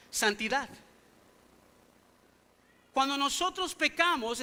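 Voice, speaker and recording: High, bright-voiced male pastor preaching. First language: English. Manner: emphatic, full of rhetorical questions to the congregation. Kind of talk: sermon. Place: church sanctuary.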